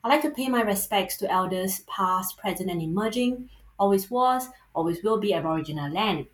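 A woman with a Singaporean accent, a sociologist and author answering interview questions thoughtfully, pausing often with ums and uhs. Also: medium pitch, expressive, echoey room.

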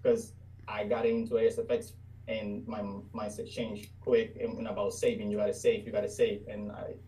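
A young man speaks as a podcast guest. His voice is mellow and dark and sounds a little far from the mic. He often pauses and hunts for the right words. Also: fairly low pitch, little pitch movement. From Puerto Rico. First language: Spanish. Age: 20s. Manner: hesitant, searching for words. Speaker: guest trader. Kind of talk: casual interview.

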